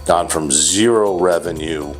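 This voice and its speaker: A man with a very low, deep voice hosts a relaxed workplace interview. He is chatty and teasing and often restarts his sentences. Faint music plays in the background. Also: a little slow. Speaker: company CEO hosting interview. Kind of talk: casual interview chat.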